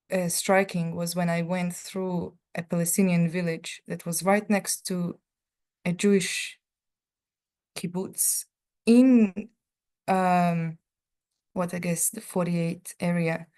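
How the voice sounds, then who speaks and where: A middle-aged woman, speaking on a panel and sharing a personal account in a halting way, full of fillers and restarts. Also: on the low side, fairly even intonation, mellow.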